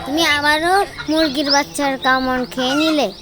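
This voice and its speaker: A woman voicing a firm, practical mother in a kids' cartoon, explaining and gently scolding. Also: high voice, steady tone, moderate pace, natural voice. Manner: admonishing, explanatory. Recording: music behind the voice.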